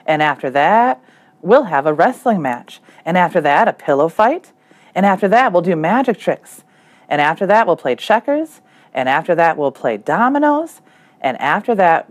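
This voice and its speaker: Woman reading as an eager, animated little boy. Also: fairly low pitch, normal speed. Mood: excited. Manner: excited, rattling off lists. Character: eager young boy full of big plans. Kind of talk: children's picture book read aloud.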